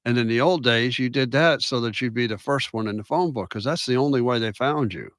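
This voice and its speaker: A man's voice: medium pitch, brisk, fairly bright tone, no echo, studio quality. Matter-of-fact male radio guest. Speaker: guest business owner. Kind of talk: casual radio interview.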